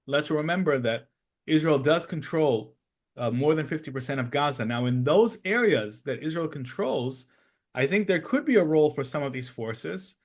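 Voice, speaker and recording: A man discussing politics analytically as a podcast host, explaining his points step by step with emphasis. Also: fairly high pitch.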